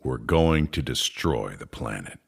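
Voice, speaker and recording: Bitter sci-fi man: extremely low, husky voice, slow irritable mutter, weary temper. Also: lively intonation, exaggerated voice. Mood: grim, matter-of-fact. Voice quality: gravelly, rough. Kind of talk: audio drama acting.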